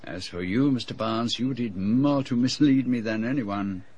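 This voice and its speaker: An actor in a radio drama playing a shrewd, grave foreign detective who speaks formally and deliberately. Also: male voice, mid-range voice, a little mellow, a little hiss or hum, lightly acted. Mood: explanatory, reflective. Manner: formal, deliberate phrasing without contractions.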